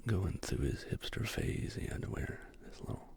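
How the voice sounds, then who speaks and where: A man hosting a casual, rambling show-and-tell in a soft, gravelly ASMR whisper, with slangy asides. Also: moderate pace. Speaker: host.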